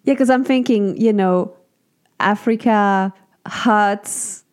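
A woman from Switzerland co-hosting a relationship podcast, chatting in a playful, teasing way with animated asides. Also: medium pitch, slow, clean tone. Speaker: podcast co-host.

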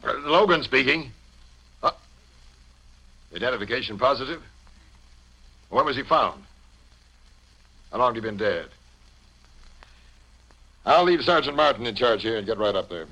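Brisk, clipped American police captain. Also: male voice, mid-range voice, expressive, sharp tone, poor audio. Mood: grave, brisk. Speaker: actor in a radio crime drama. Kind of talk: 1950 radio acting. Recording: old 1950 radio broadcast recording.

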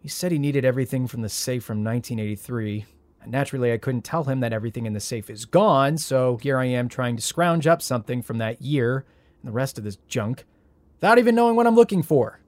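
A man playing a frazzled, sarcastic young heir in an audio drama, with a wry, flustered, halting delivery. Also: medium pitch, animated, natural voice. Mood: sarcastic, stressed. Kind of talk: audio drama acting, naturalistic.

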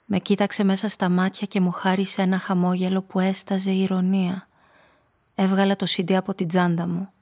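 A woman's voice: medium pitch, monotone, darkish tone, lightly acted. An actress playing a frightened female blackmailer who tells her own story intimately in a scripted radio play. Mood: tense, measured. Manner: intimate, confessional first-person storytelling.

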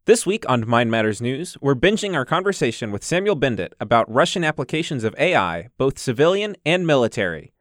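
A man's voice: medium pitch, sing-song, a little fast, hardly any pauses, dry recording, clean studio sound. A man reading a scripted podcast intro as the announcer. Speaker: show announcer. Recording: intro with music underneath.

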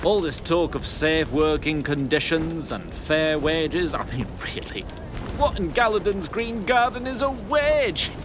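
Man playing a lively, pompous small-town mayor. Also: on the high side, unhurried, background noise. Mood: scheming, jovial. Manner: folksy, pompous, placating. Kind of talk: audio fiction drama acting.

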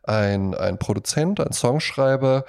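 A man's voice: mid-range voice, expressive, a little slow, a little mellow, clear, resonant tone. A man hosting a podcast, chatting casually about music and telling stories in an anecdotal, conversational way. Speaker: podcast host.

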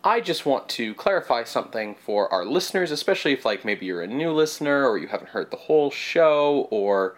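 A young man from Canada, co-hosting a podcast in casual chat, a little stuffed up and nasal, talking in a chatty way with quick asides. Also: mid-range voice, sing-song. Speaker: podcast co-host.

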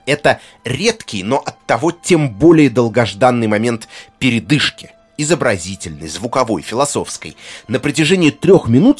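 A man presents an explanatory talk in a medium-pitched, animated voice. He phrases things articulately, leaning on key words and pausing deliberately. Music plays behind him. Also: breathy edge. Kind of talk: explanatory video essay narration on film music.

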